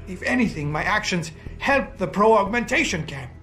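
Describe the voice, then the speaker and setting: Bright-voiced, despairing disgraced man. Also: high voice, animated, moderate pace, faint music behind. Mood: remorseful, despairing. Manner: formal, eloquent, emotionally wrought. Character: despairing, guilt-ridden disgraced male activist, bitter and proud. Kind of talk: dramatic video game voice acting.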